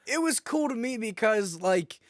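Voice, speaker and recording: A man's voice: sits high, lively intonation, moderate pace, no room sound, clean studio sound. An American man chatting casually as a podcast co-host, in a bantering style.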